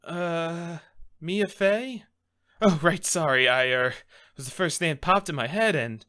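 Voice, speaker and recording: Man playing a nervous, bright-voiced young rookie lawyer. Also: on the high side, slow. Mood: flustered. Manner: hesitant, stammering.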